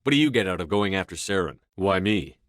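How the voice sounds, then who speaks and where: A resolute space commander speaks low. His delivery is measured, terse and used to giving orders, as scripted video game dialogue. The recording is very dry, with no room sound. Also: lively intonation, a bit bright, no echo. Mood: hard, threatening. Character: tough, resolute male military space commander.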